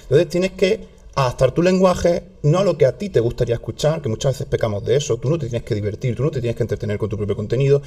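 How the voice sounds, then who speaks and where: A man with a southern Spanish accent, a guest in a casual interview, talking in an animated way with many restarts. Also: mid-range voice. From southern Spain. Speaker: health content creator guest.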